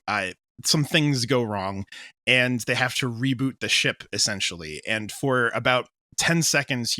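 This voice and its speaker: Bright, close-miked man chatting in interview. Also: mid-range voice, no room sound. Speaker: audio drama creator, writer, interview guest. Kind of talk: casual interview chat.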